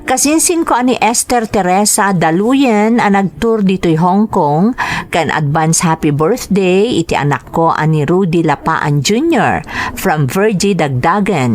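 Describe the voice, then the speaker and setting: A woman with a Filipino accent hosting, reading out greetings and letters in a radio style. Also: on the low side.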